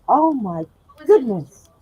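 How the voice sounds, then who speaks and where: A woman's voice: high voice, darkish tone. A woman hosting a sci-fi live stream, chatting casually and laughing often, in a room with a glass roof. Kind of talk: casual chat. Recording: dull lo-fi dry sound.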